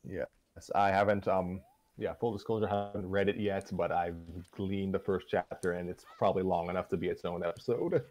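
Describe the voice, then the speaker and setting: A man co-hosting a show in casual, unscripted chat, talking in a halting, self-deprecating way. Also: sits low, fairly even intonation, a little mellow. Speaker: co-host of a fan fiction reading show.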